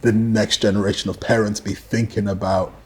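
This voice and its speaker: A man's voice: lowish voice, level tone, fairly quick, husky, soft background music. A man hosting a podcast discussion, asking questions and rephrasing them as he talks. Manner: probing, rephrases questions while speaking. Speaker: podcast host. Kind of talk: casual discussion.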